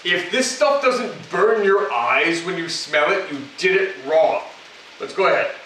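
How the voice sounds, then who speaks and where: A man with a high, bright voice walks viewers through a recipe in a chatty, instructive way, tossing in little jokes. He is recorded by a camera mic across a home kitchen, so the sound is very echoey and distant. A faint hum of noise sits underneath. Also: lively intonation, a little slow. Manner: chatty, instructive, with joking asides. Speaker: cooking show host. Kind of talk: casual cooking demonstration. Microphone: camera mic from across the room.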